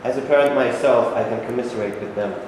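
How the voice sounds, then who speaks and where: In a very echoey hall with faint noise, a man acts a stern, unyielding Japanese wartime patriot. He declaims formally and solemnly in a mid-pitched voice, as in a stage reading. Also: fast, lightly acted. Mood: solemn, accusing. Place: reverberant indoor room at a staged reading.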